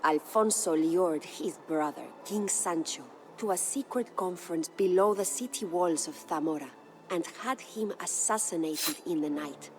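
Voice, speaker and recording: Woman acting a low-voiced, solemn noble Spanish widow. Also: slight background noise, close to an everyday voice, low-quality recording. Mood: solemn, storytelling. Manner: solemn, formal, measured. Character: proud grieving noble Spanish widow, lady of a castle. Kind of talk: dramatic scripted storytelling narration. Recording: music behind the voice.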